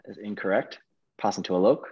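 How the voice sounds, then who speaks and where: A man with a fairly low voice works as a quizmaster, presenting questions and adjudicating answers. The sound is somewhat dull, as on an online call. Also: a little fast, a little mellow, husky. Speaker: quizmaster, host. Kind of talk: reading quiz questions and ruling on answers.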